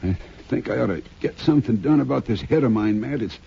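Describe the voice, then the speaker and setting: A man with an American accent playing a groggy, rueful banker in a 1950s radio western, with a husky, gravelly voice and a plaintive manner. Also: mid-range voice, fast talker. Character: groggy, rueful townsman banker in the Old West. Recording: muffled 1950s radio recording.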